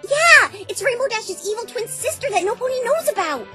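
A woman playing a hyperactive, silly, excitable young party pony with a bubbly, emphatic manner in a fan dub. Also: very high voice, brisk, over music. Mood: bubbly, insistent. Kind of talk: fan-dub visual novel character acting.

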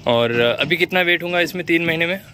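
Guy filming a goat farm tour on his phone, sharp bright voice, a bit of street noise outside. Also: fairly high pitch, brisk. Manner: inquisitive, eager, repeats answers back. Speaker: channel host touring a goat farm. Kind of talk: casual on-site interview and guided tour. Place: outdoor farm yard by a street.

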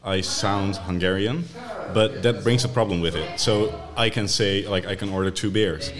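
A Dutch man guesting on a podcast, with a somewhat low voice. He explains things in an easygoing, joking way in relaxed chat. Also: moderate pace, over music. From Netherlands. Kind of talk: casual chat.

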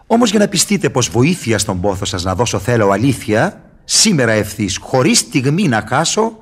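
An actor in a radio drama playing a sly, mocking devil who wheedles and teases in a declamatory way. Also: male voice, medium pitch, big pitch swings, breathy edge. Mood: teasing. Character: sly, mocking devil tempter and fixer, male. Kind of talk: theatrical radio drama acting.

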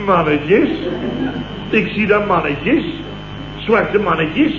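A man's voice: sits high, animated, takes it slowly. Blustering exasperated office boss, old radio comedy, sarcastic man, echoey and really hissy. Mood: exasperated, incredulous. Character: indignant, sarcastic male office boss telling his night's ordeal. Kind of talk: 1970s Dutch radio comedy acting. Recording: muffled, noisy, roomy old radio recording.

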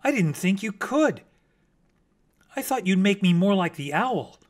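Guy putting on a squeaky eager chipmunk, high and lively, slow storybook reading. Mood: delighted. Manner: excited, high, bright storybook voice. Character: eager little male chipmunk, curious and pleased.

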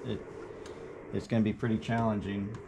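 A man's voice: on the low side, level tone, moderate pace. A man in his forties working as an amateur radio operator in a clipped, procedural way, indoors in a living room. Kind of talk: radio call signs in phonetic alphabet. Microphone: camera a short distance away. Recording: loud radio static and hiss. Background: radio noise.

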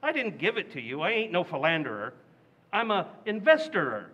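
A man's voice: high voice, wildly swooping pitch, a little fast, bright, forceful tone, slightly rough, highs cut off, heavily acted. An actor playing a blustery, boastful working-class father in an old-time radio play recreation, mangling big words.

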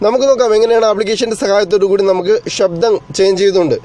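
A man's voice: high-pitched, rapid-fire, warm tone. A man narrating an explanatory tech tutorial as its host, in a formal, wordy way that addresses viewers directly.

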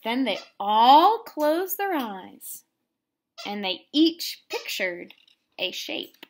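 A woman reading a children's storybook aloud expressively, with deliberate, dramatic phrasing. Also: a little high, sing-song. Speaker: storybook reader, teacher. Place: room at home.

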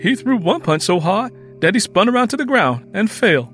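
Guy doing excitable little boy in a story, high voice, really animated, folksy talk. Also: moderate pace, soft background music. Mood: excited, alarmed. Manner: emphatic, folksy vernacular. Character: excitable, stubborn young Southern boy, Willie. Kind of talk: dramatised audiobook dialogue.

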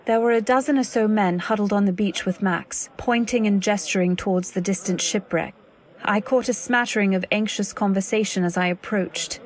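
A woman playing an anxious, earnest wife, narrating a radio drama in a literary, deliberate way. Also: mid-range voice, natural voice. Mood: tense, reflective. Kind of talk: dramatic scripted narration, old-time radio.

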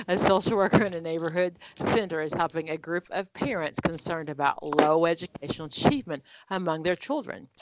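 A woman's voice: fairly low pitch, slight background noise, rough recording. A woman leading an online exam-prep study group, explaining answers with everyday examples in a conversational way over a video call.

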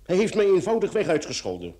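Courteous, lively-toned police commissioner. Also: male voice, high voice, fast. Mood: indignant, hesitant. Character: male police commissioner, courteous, dryly probing investigator. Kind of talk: old radio play acting.

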